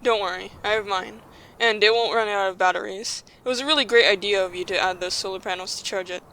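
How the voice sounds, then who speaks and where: A woman playing a calm, practical, reassuring young group leader in an audio drama, with steady delivery. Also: medium pitch, quick pace, low-quality recording.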